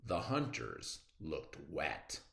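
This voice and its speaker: A storybook narrator reading aloud for children with huge swings of pitch. The pacing is very slow and theatrical, heard in a roomy, echoing space. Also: vocal fry. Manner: theatrical, expressive. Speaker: storybook reader.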